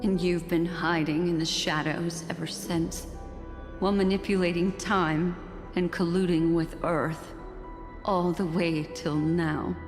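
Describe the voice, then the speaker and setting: Woman acting a low-voiced, thoughtful heroine. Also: fairly even intonation, measured pace, natural voice. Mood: grave, probing. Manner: pensive, questioning. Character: serious, thoughtful woman companion piecing together a mystery. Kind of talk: video game voice acting. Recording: long reverb, music behind.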